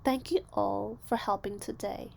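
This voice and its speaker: A woman voicing a kind, patient, encouraging schoolteacher in a storybook, gentle and deliberate in her reassurance. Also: mid-range voice, creaky. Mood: reassuring.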